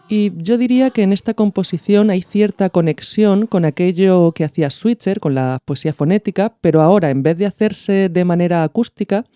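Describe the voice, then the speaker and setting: A man's voice: high voice, dark tone. A man from hosting a podcast, explaining in a didactic, narrated style. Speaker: podcast host. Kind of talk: explanatory narration of radio art.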